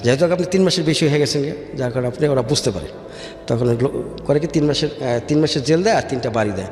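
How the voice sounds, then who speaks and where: A man's voice: mid-range voice, fast talker, a little mellow. Bangladeshi man telling his story, echoing reverb. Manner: mixes English words into his Bengali. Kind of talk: personal storytelling in a radio interview. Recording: echoey reverberant room with music behind. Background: background music.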